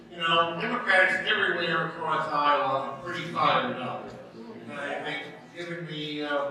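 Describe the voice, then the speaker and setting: A man in his sixties with a slight East Coast accent speaks at a podium in a big, very echoey hall. His voice is bright and carries from some distance off the mic, over a faint hum. He sounds earnest and chatty, trading easy jokes with the room. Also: fairly high pitch. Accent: slight East Coast American. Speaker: political candidate. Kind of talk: campaign forum speech. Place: large hall.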